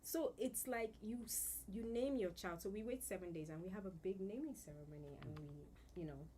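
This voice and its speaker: A woman's voice: medium pitch, normal speed, slight background noise. A middle-aged woman from Nigeria, a guest telling stories in a casual podcast conversation, with lots of fillers. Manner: warm storytelling with many fillers.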